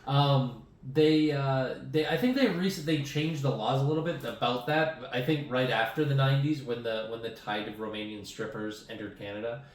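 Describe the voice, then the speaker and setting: Podcast host, male, thirties, mid-range voice, halting casual chat, echoey room. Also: mid-range voice. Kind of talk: casual comedy interview chat. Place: small room at home, indoors. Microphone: podcast microphone on table arm. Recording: roomy echo, distant from mic.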